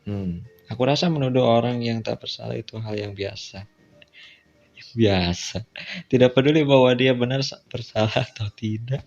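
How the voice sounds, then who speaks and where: A man's voice: mid-range voice, normal speed, gravelly, darkish tone. Indonesian man narrating casually over music. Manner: slangy, informal interjections. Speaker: visual novel let's-play streamer. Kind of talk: reading game dialogue aloud with casual side comments. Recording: dull lo-fi sound with music. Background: background music.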